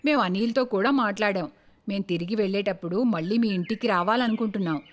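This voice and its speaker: A woman playing a gracious middle-aged mother-in-law in an animated moral story, polite and appreciative. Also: mid-range voice, quick pace, lightly acted. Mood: pleased.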